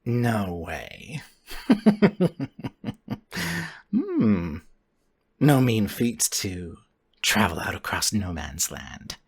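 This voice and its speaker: A man's voice: on the low side, wildly swooping pitch, very slow, pauses often, husky, exaggerated voice. A man voicing a cocky, curious clawed creature in a tabletop game, speaking in a laconic, wryly amused way. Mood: cool, curious.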